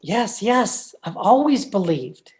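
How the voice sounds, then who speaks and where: A woman's voice: mid-range voice, sing-song, slow pace, a little mellow. A woman playing a timid, henpecked husband who stammers and hesitates, in old time radio horror style. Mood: frightened. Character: timid, henpecked, frightened husband, a meek little man. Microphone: video call.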